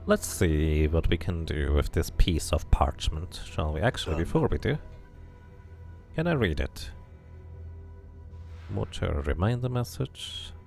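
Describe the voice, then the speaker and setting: A man's voice: a bass voice, lively intonation, unhurried, creaky, pauses often, darkish tone, soft background music. A man streaming a game, switching between casual commentary and reading the story text aloud as narration.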